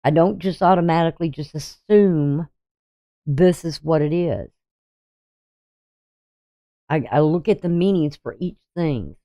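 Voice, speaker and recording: A sixty-something astrologer talks through the day's chart in a loose, meandering way, trailing off and restarting often. The voice sits at a middling pitch. The recording sounds dull and lo-fi. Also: lively intonation, a little slow, pauses often, warm tone. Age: 60s. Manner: rambling, hesitant, with frequent ums and restarts. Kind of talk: casual daily astrology reading over a chart.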